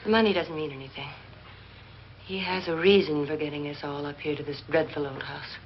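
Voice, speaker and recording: An actress playing the cool, anxious wife of a jealous millionaire in a 1950s horror film, poised and commanding. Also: female voice, low-pitched, fairly even intonation, brisk, a little hiss or hum. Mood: fearful, grave. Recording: dull vintage film soundtrack with music.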